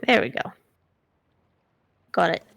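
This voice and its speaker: A woman's voice: slow, fairly bright tone. A sleepy woman playing a game with friends, chatting casually in a playful, slangy way. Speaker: guest co-op player. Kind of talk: casual gaming chat.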